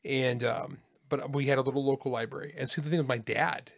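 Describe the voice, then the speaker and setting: A man's voice: medium pitch, fairly even intonation, brisk, vocal fry. A man from California co-hosting a podcast, telling stories with wry, exaggerated jokes. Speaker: podcast co-host. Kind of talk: casual podcast chat.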